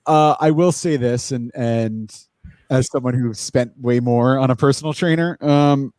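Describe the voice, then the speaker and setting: Podcast guest: man, forty, mid pitch, dry close sound, chatty and encouraging. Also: a little slow, a little mellow, no echo. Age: 40s. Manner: chatty, encouraging, full of restarts. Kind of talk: casual podcast chat.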